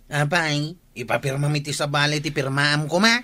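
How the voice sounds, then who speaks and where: Man as bright-voiced nagging Ilocano village official. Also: fairly high pitch, steady tone, moderate pace. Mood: scolding. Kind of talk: comedic Filipino radio drama acting.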